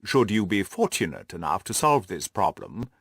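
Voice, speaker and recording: A man's voice: low-pitched, big pitch swings, moderate pace, vocal fry, a bit bright, slightly rough, no room sound, studio quality, pitched below the performer's own voice, full character voice. A man voicing a stately, condescending, old-fashioned nobleman in an audiobook, formal and haughty. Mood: haughty, doubtful. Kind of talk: audiobook character dialogue.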